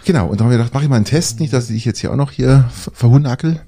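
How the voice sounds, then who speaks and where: Middle-aged podcast co-host, stuffed up with a cold, gravelly and dry. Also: male voice, mid-range voice, very fast, warm tone, no echo. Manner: rambling, hesitant with many fillers. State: congested with a cold. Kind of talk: casual chat.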